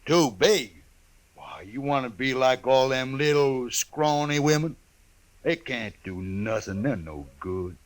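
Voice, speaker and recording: An actor playing a huge, simple, blunt buffalo hunter with a rustic drawl in a 1950s western radio drama. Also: male voice, mid-range voice, lively intonation. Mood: smitten, earnest. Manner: slow, rustic ungrammatical drawl.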